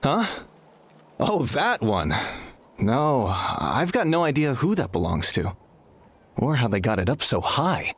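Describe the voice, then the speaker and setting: A man's voice: medium pitch, big pitch swings, slow pace, long pauses, slightly rough, full character voice. An actor playing a young male arena worker in a video game, practical and easygoing, explaining things conversationally. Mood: curious, resigned. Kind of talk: video game dialogue acting.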